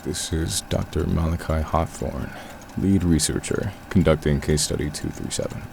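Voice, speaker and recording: A male lead researcher from an audio drama, speaking in a low, very gravelly voice. He dictates case notes with formal, clinical detachment over clearly noisy ambience on the recording. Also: steady tone, normal speed, a little mellow. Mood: clinical, detached. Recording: noisy archive tape with vehicle-like ambience.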